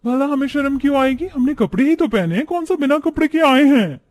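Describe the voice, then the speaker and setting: A man voicing a haughty young modern city daughter-in-law, sassy and defiant, mixing in English words, in an animated story. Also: very high voice, fairly quick, pitched above the performer's own voice.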